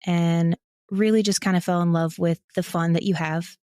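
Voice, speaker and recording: A woman's voice: on the low side, steady tone, moderate pace, mellow, no echo, studio quality. An American woman from Ohio giving a candid, reflective and casual interview as a creator. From Ohio, United States. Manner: candid, self-reflective, laughs while talking. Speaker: interview guest, audio drama creator.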